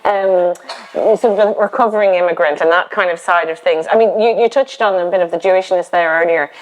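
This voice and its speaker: A woman from Ireland hosting a live interview on a festival stage, relaxed and conversational. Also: lowish voice, fairly quick, rough recording. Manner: relaxed and conversational, with lots of brief agreements. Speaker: host and interviewer. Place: festival stage before an audience.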